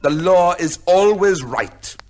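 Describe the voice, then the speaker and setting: An actor playing a proud, severe middle-aged judge with a secret life, speaking formally in an old-time radio drama. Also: male voice, high voice, animated. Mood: pompous, certain. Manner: formal, measured, pompous. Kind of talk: old-time radio drama acting, with first-person narration.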